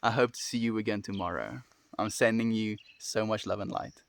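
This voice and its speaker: A young man with a South African accent, hosting a casual livestream, answering chat in a gentle, affectionate way and often calling listeners "my friend". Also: on the low side, a little fast, gravelly. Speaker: livestream host reading chat.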